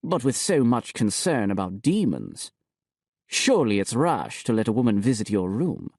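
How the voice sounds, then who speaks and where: A man voicing a smug, scheming bishop with a slow, gloating delivery. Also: fairly low pitch, big pitch swings, a little mellow, slightly rough, no echo, studio quality, heavily acted.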